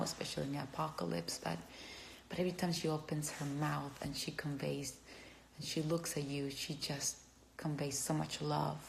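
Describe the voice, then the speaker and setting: Woman, Cuban accent, low voice, halting fan chat, phone mic, faint hiss. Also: vocal fry, rough recording. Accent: Cuban Spanish. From Cuba. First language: Spanish. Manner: halting, searching for words, gushing. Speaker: actor answering fans. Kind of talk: casual livestream chat.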